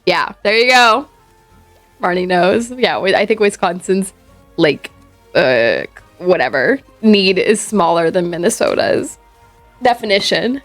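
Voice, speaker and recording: A woman's voice: fairly low pitch, lively intonation, measured pace, faint music behind. A woman from Ohio with a Midwestern accent, chatting casually with her viewers on a livestream and rambling between thoughts. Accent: Midwestern American. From Ohio, USA. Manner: rambling, chatty, reads out chat.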